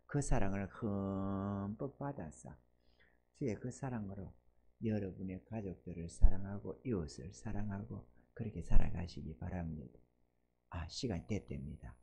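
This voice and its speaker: A man in his sixties preaching a Sunday sermon as pastor, swinging between loud emphatic exhortation and soft asides, and asking the congregation for amens. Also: low-pitched, normal speed, gravelly. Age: 60s.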